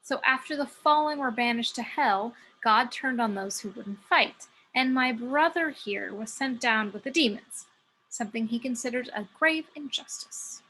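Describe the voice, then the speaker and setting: A woman's voice: fairly high pitch, normal speed, slight background noise, low-quality recording. A woman playing a blunt, scornful celestial muse in a script table read, emphatic and sardonic as she scolds her fallen brother. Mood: grave, explaining. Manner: emphatic, sardonic, sharp-tongued. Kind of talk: amateur table reading of a film script.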